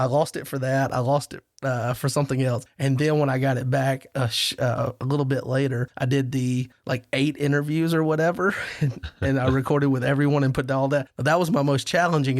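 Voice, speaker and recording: Male podcast host, casual rambling storyteller with a self-deprecating streak, narrow pitch range, dry close sound. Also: no room sound. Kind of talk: casual roundtable chat.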